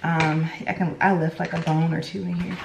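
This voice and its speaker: An echoey room with some background noise surrounds a young American woman. She chats casually like a lifestyle vlogger in her kitchen, wandering through stories and asides in an animated way. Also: low-pitched, normal speed, vocal fry. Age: young adult. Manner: chatty, animated storytelling with rambling asides. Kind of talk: casual chat vlog. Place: home kitchen, indoors. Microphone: camera on the counter, about arm's length.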